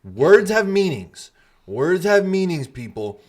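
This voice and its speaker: A man's voice: sits high, big pitch swings, slow pace. Blunt, emphatic male podcast host. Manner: blunt, emphatic, swears freely. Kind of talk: casual podcast debate.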